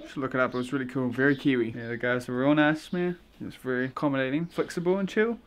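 A man's voice: medium pitch, quick pace. A young man chatting casually with slang and the odd swear, filming himself with a handheld camera. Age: young adult. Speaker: travel vlogger. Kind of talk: casual travel vlog. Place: outdoors on a beach and trails, then a small room indoors. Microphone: handheld camera at arm's length. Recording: noisy handheld outdoor audio.

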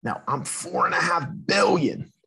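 A man's voice: fairly high pitch, very animated, unhurried, vocal fry, bright, forceful tone, breathy edge, exaggerated voice. A man voicing a cheerful, playful female water drop, ages old, in a children's storybook. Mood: friendly. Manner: playful exclamations. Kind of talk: children's storybook read-aloud.

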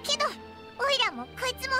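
Tiny fairy sidekick, squeaky, piercingly bright, lively intonation, blunt, loyal, anime game. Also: female voice, normal speed, full character voice. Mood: protective. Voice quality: squeaky, childlike. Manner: boyish, blunt, exclamatory. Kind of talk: Japanese anime game voice acting. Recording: music behind the voice.

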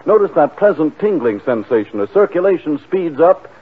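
A man's voice: fairly high pitch, sing-song, normal speed, husky, phone-quality sound. A man with an American accent announcing a 1940s radio commercial in an emphatic sales delivery. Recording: band-limited 1940 radio broadcast.

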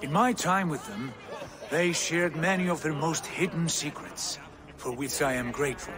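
An actor playing a hearty, boisterous Viking prince in a video game cutscene, laughing often. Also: male voice, on the high side, moderate pace, crowd noise, natural voice. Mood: earnest.

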